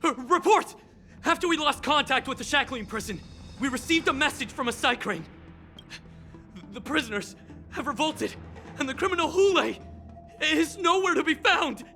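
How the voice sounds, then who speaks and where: An earnest young soldier in an anime game, very high in pitch and intensely bright. He speaks in formal, clipped phrases, like one reporting to a superior, over music. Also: expressive, moderate pace, long pauses, heavily acted. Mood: urgent, formal.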